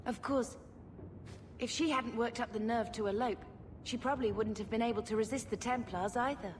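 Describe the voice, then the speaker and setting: An actress playing an earnest, wistful young mage in a video game, speaking sincerely and reflectively. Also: female voice, a little high, fairly even intonation, normal speed, slight background noise, close to an everyday voice.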